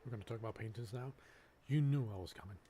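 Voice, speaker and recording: A man live-streaming a game, reading on-screen text aloud a little haltingly and breaking off into casual asides. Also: medium pitch, mellow, a little hiss or hum.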